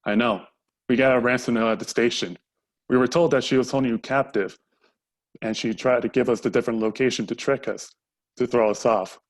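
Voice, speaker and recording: Man playing an earnest, medium-pitched police dad. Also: steady tone, brisk, natural voice. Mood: relieved, earnest. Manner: earnest, explanatory. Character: concerned policeman father, earnest and protective. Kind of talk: amateur stage play acting.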